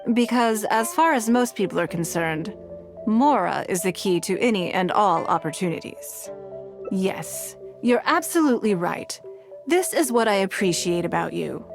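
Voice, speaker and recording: An actress voicing a poised, wealthy businesswoman and leader in a video game, composed and measured, with an elegant, self-assured manner. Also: mid-range voice. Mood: gentle, relaxed.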